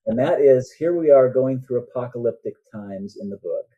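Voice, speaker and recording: A man's voice: medium pitch, normal speed, breathy edge, lo-fi sound. An older man talking as a guest author in an interview, explaining his thoughts earnestly in a conversational way.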